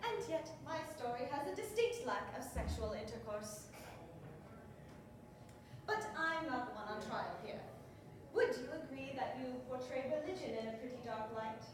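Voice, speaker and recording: A woman's voice: high-pitched, expressive, moderate pace. A woman playing a prim, righteous prosecutor in a stage courtroom drama, cross-examining in a pointed, disapproving, sarcastic way. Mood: scornful, accusing. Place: live stage performance in a roomy venue. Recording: echoey hall, distant mic, noisy room.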